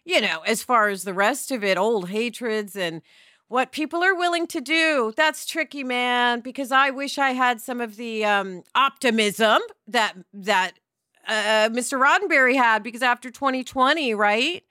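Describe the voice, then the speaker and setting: A woman hosts a podcast in a high-pitched, bright voice. She talks casually and with emphasis, often tagging on a question to check in. The recording is dry. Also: normal speed, no room sound. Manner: emphatic, conversational, asks "right?" often. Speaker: podcast host; television actress.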